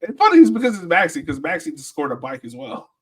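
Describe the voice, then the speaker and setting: A man's voice: fairly high pitch, huge pitch swings, fast, fairly bright tone, breathy edge. A man hosting a sports podcast in casual, joking chat.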